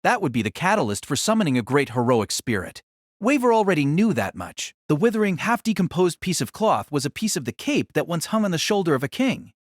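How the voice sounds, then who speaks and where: A man's voice: on the high side, big pitch swings, a little fast, dry recording, studio quality. A man narrating a light novel in a dramatic, scripted storytelling style.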